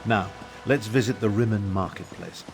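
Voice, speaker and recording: A man's voice: on the low side, normal speed, over music, natural voice. A man playing an eloquent, indignant royal half-brother in a video game, speaking in a formal, articulate, theatrical manner. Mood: scornful, persuasive. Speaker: guide. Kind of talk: scripted video game dialogue.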